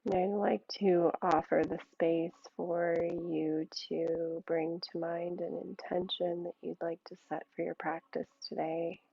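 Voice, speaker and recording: A woman yoga teacher leading a guided meditation in a soothing, unhurried way, with gentle pauses between short phrases. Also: on the low side, flat delivery, vocal fry, husky, no echo, lo-fi sound.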